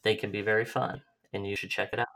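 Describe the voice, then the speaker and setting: A man hosts a casual podcast interview. He is relaxed and easygoing, laughing often as he talks. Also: on the low side, fast, rough recording. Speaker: podcast host.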